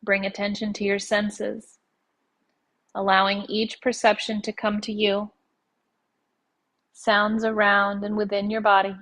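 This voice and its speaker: A woman leading a guided yoga nidra meditation in a gentle, soothing, measured way, leaving long pauses between short phrases. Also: medium pitch, monotone.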